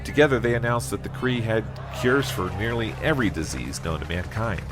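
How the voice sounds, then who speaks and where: A man's voice: fairly low pitch, expressive, fairly quick, music in the background, lightly acted. A man playing a humble, plain-spoken farmer from Iowa in an audio drama, a little hesitant and folksy. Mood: reflective narration. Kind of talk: audio drama acting and first-person narration.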